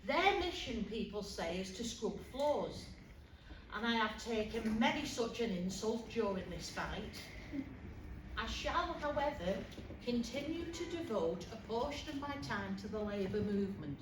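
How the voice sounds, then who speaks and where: A woman playing a rousing working-class suffragist, giving an emphatic rally speech with deliberate pauses on stage. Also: a little high, sing-song. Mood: pointed, indignant. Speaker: actor performing a monologue. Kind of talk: theatrical political rally speech. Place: public hall, live performance before an audience. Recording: roomy, distant, noisy hall recording.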